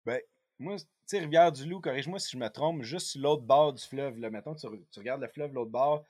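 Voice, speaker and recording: A man's voice: medium pitch, a little fast, a little mellow, husky, no room sound, studio quality. A man with a Quebec French accent hosting a podcast, chatting and interviewing casually in colloquial, slangy language. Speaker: podcast host.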